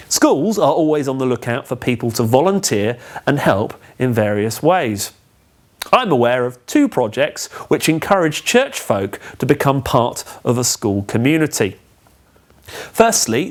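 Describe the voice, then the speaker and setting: A man gives scripted instructional narration in a measured, clearly phrased, instructive manner. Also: medium pitch. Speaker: training film presenter.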